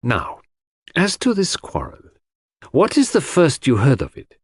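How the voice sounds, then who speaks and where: A courteous, probing detective in a mystery audiobook, voiced by a man. His questions are formal and persuasive, with a very animated melody and a dry, close sound. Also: on the high side, unhurried, slightly rough, no echo, pitched above the performer's own voice, heavily acted. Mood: businesslike.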